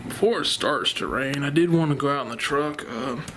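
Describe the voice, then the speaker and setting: Relaxed male vlogger, noisy home recording. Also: mid-range voice, brisk. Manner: relaxed, rambling, talking quietly instead of projecting. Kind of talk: casual home vlog chat. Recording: noticeable background noise.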